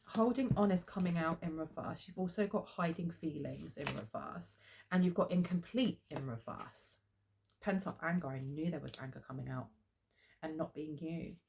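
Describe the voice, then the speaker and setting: A woman's voice: deep voice, normal speed, vocal fry, a little mellow, a little hiss or hum. A woman with a head cold, sniffly, giving a chatty tarot card reading with plenty of ums. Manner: chatty, many filler ums. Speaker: tarot reader.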